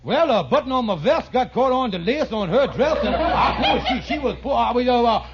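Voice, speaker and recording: A very high-pitched, animated henpecked husband from a 1940s radio comedy. He is a folksy, evasive schemer who mangles his grammar and stammers when cornered, on a muffled recording thick with noise. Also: a little fast. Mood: defensive, flustered. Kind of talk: 1940s radio sitcom acting.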